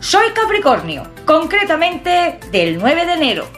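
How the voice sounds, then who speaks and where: A woman in her fifties from Catalonia, with a southern-sounding Spanish accent from an Extremaduran father, chatting to camera in a jokey, expressive way from a small room at home. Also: very high voice, a little slow, soft background music. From Catalonia, Spain. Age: 50s. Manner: chatty, expressive, jokey, lively hand gestures. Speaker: urban exploration vlogger. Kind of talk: personal talk to camera.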